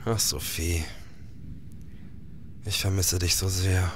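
Low-voiced haunted detective played by an actor. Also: male voice, level tone, takes it slowly, music in the background. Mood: despairing. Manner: formal, literary storytelling. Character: grieving, sleepless male police detective, haunted and earnest. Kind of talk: radio drama acting and narration.

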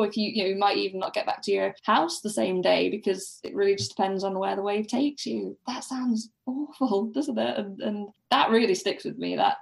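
A woman speaking as a podcast guest in a casual book discussion, tentatively softening her points. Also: on the high side, vocal fry.

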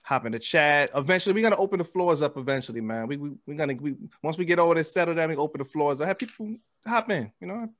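A man's voice: on the high side, animated, fast talker, few pauses, a bit bright. An American man hosting a podcast in casual chat, slangy and laid-back. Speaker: podcast host. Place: indoors, remote video call.

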